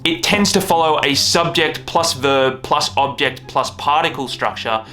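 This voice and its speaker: Aussie guy vlogging, wry and jokey, slightly high bright voice. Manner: wry, joking, opinionated. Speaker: YouTuber. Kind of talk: casual explainer vlog.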